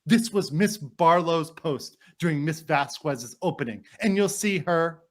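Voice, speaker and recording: Man playing a high-pitched, indignant trial lawyer. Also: measured pace, close to an everyday voice. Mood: insistent. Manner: formal, emphatic, argumentative. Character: indignant, courteous male trial lawyer addressing a judge. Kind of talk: dramatic reading of a court transcript.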